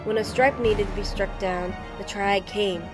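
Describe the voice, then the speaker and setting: A woman's voice: medium pitch, steady tone, normal speed. A woman giving serious, dramatic scripted narration of a dystopian fantasy world in a storytelling delivery. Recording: music behind the voice. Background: background music.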